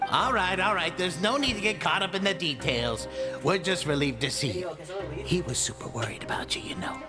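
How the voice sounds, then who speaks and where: An actor voicing an easygoing, chummy male prison inmate in a video game, with a relaxed manner. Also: a little high, a little fast, close to an everyday voice. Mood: relieved, easygoing. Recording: game music playing behind.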